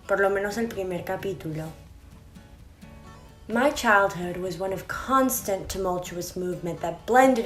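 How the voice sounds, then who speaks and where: Brief: young woman, wry personal storyteller, roomy echo, light music bed. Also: medium pitch. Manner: wry, self-mocking. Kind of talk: comedic personal storytelling narration.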